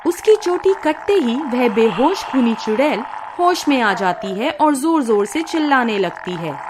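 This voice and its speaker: Female narrator for children's moral tales: high, animated, expressive scripted read. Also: a little slow, background noise. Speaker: storyteller. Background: faint background music.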